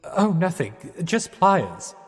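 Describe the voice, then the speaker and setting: Plummy young 1920s English gent, dithering, swooping intonation, radio play, long hall reverb. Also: male voice, sits high, big pitch swings, slow, slightly rough, exaggerated voice. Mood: sheepish. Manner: plummy, dithering, old-fashioned politeness. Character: jittery bumbling genteel young Englishman of the 1920s. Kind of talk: radio theatre acting. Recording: long echoing hall, music behind.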